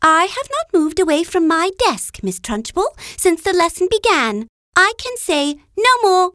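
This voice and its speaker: A woman voicing a young schoolgirl who answers calmly and politely. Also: very high-pitched, measured pace, no echo, studio quality, voice pushed up, full character voice. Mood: composed. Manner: composed, polite. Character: calm, clever little schoolgirl.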